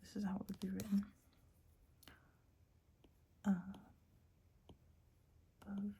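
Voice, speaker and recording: Breathy woman, slow ASMR-style whispered lesson, dark and mellow tone. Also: mid-range voice, completely flat delivery, slight background noise. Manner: whispering, hesitant, searching for words. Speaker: teacher.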